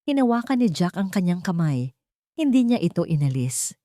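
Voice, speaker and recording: Female storyteller, lowish pitch, dry close recording; scripted romantic drama narration in measured, deliberate phrases. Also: sing-song, dark tone, no room sound.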